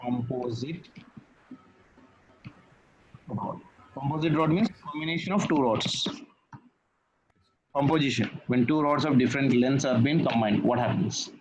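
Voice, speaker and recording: A man's voice: mid-range voice, unhurried, pauses often, a little hiss or hum, rough recording. A man tutoring physics, patient, repeating key phrases and checking that his student follows. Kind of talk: one-on-one tutoring session.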